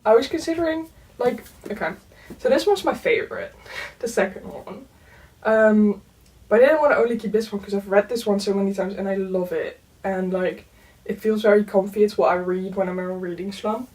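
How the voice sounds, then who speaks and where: Young woman, native Dutch speaker, casual book vlog, chatty with fillers, medium pitch, mic slightly distant. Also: fairly quick. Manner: chatty, lots of "um" and "like", soft laughs. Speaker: book vlogger. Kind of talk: casual chat.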